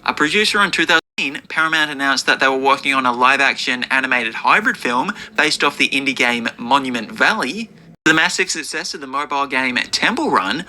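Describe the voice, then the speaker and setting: A man's voice: mid-range voice, sharp tone, slight background noise. A man reading scripted, informational narration about entertainment news. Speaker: video narrator.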